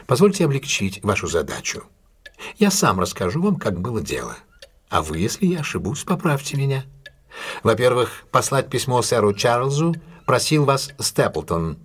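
An actor playing a confident, courteous male detective in an audio drama, speaking in a measured, deliberate and formally polite way. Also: mid-range voice, sing-song, a bit bright, husky. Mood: calm, probing. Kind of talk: audio drama acting, classic detective story.

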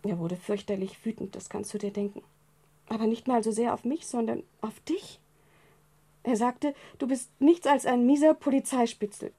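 An actress playing a weak, frightened, loving young hospital patient with a soft, breathy voice, in a 1970s German radio crime drama. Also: female voice, a little high, moderate pace. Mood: anxious confiding. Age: young adult.

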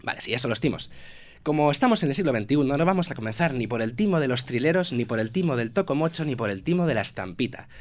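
A man with a Manchego Spanish accent trades scripted comic banter on a radio show. His pitch swings widely as he delivers dry, self-mocking jokes, set at a middling height for a man. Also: expressive, fast. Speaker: comedy segment presenter. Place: radio studio.

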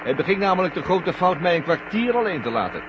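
An actor playing a smug, scornful traitor astronaut who talks in a mocking, condescending way, in a 1970 Dutch radio drama. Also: male voice, sits high, sing-song, fast, noisy background. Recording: muffled, humming 1970 radio recording.

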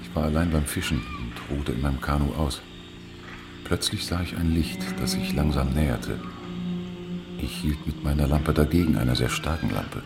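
An actor playing a fisherman eyewitness in a radio drama, giving plain, measured testimony. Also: rumbling low, over loud music. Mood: measured recounting.

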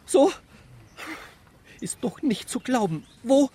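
Flustered priest, high broken husky voice, swooping all over, radio play. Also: male voice, very animated, takes it slowly, dark tone, no room sound, heavily acted. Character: flustered priest, shocked and indignant, losing his thread.

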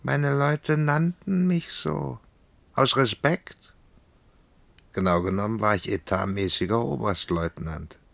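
An actor playing a proud, self-justifying cavalry commander of the Old West in a German radio play, speaking defensively and rhetorically. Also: male voice, mid-range voice, slow pace, vocal fry, a bit bright, dry recording. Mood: defensive pride.

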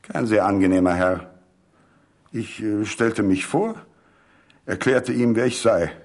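Man acting a gruff, irritable, proud gentleman. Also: lowish voice, slow, pitched above the performer's own voice. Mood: annoyed. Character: proud irritable male amateur chess player, excitable. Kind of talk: literary radio reading.

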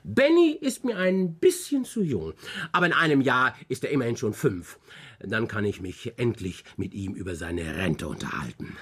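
A man's voice: medium pitch, big pitch swings, moderate pace, fairly bright tone, slightly rough. An older man playing a whimsical, grumbling grandfather in a radio play, telling tall tales with theatrical emphasis and muttered asides. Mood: dry, whimsical.

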